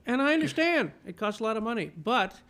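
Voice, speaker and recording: A man's voice: very high-pitched, big pitch swings, quick pace, a bit bright. A man in his fifties, born in Chile, telling stories about movies as a guest in a casual interview. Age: 50s. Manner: enthusiastic storyteller, repeats words when starting. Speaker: film critic, guest.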